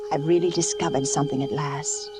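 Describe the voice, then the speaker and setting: A woman's voice: low voice, steady tone, fairly quick, over loud music, lightly acted. An actress playing an anxious, secretive young wife losing her mind in a suspense radio drama, with a dramatic delivery that drops toward whispers. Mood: feverish. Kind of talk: vintage suspense radio drama acting, first-person narration.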